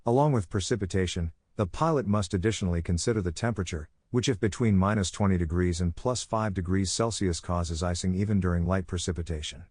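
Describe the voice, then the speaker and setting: A man reading a technical handbook aloud as scripted audiobook narration, in a measured, instructional manner. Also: sits low, a little mellow, dry recording.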